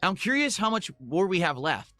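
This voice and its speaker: A man's voice: high voice, very animated, moderate pace, pushed and bright, no room sound. A man chatting casually to his viewers on a gaming livestream in a rambling, audience-focused way.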